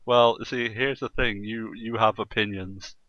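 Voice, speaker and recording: A man's voice: lowish voice, steady tone, unhurried, no echo, lo-fi sound. A man hosting a casual gaming podcast, joking and sweary, often laughing as he talks. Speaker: podcast host.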